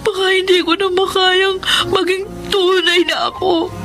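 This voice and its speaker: A woman's voice: very high voice, little pitch movement, measured pace. A woman playing a guarded young woman who poses as a tomboy in an emotional Filipino radio drama. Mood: tearful, wounded. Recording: loud music bed under voice.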